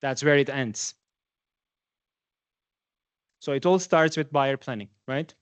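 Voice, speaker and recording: A man's voice: mid-range voice, takes it slowly, clean tone, dry recording. Guy from turkey in his 40s, guest lecture for students, chatty, throws questions at the room, dry close sound. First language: Turkish. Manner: conversational, asks the audience questions. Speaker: guest industry speaker.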